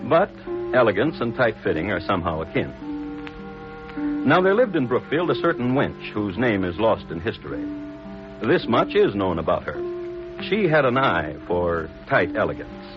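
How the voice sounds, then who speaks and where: A man hosting and narrating a scripted 1950s radio drama in a dry, wry, deliberate manner. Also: lowish voice. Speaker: host and narrator. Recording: dull vintage radio recording. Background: plucked guitar music.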